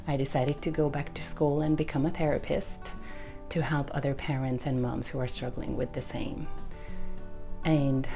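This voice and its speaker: A woman with a Hungarian accent speaks in a very low, mellow voice. Her pace is earnest and measured, and she often circles back to repeat a phrase. Soft music plays faintly behind her. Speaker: therapist sharing her story. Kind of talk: personal testimony.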